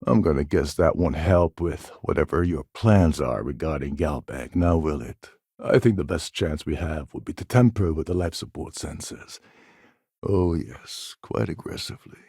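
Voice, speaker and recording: A man playing a roguish, wry starship captain and ex-pirate in a video game, a sardonic schemer with a gravelly, husky voice. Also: a bass voice, normal speed, a little mellow, no room sound, clean studio sound, exaggerated voice. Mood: wry, conspiratorial. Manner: sardonic, smooth-talking schemer. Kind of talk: video game character dialogue.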